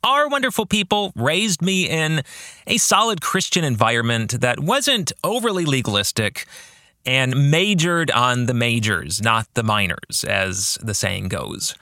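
Bright-voiced man with lively intonation. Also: mid-range voice, a little slow, no echo, clean studio sound. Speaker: podcast host and author. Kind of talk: reflective monologue about faith and fiction.

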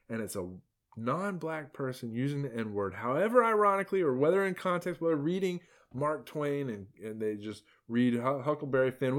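A male podcast host with a mid-pitched voice, talking off the cuff. He rambles and doubles back, tossing in sarcastic asides and a steady stream of fillers. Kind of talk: opinion podcast commentary.

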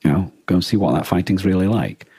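Male podcast co-host, dark husky tone in a dry close recording, reflective and halting. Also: low-pitched, no echo. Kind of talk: reflective conversational discussion.

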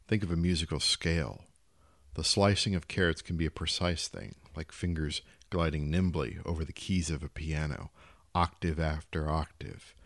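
Guy reading a short story, deep voice, dry close studio sound. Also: no room sound. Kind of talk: literary short story narration.

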